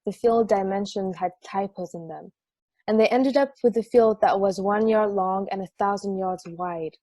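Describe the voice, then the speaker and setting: A woman's voice: mid-range voice, moderate pace, warm tone, no echo, lightly acted. A woman playing a jaded, sardonic sports podcast host in a casual table read. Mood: casual explaining.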